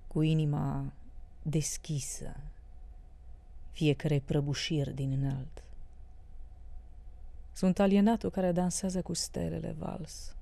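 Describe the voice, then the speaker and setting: A woman's voice: deep voice, vocal fry, warm tone, dry recording. A woman giving a recitation of poetry as a reader.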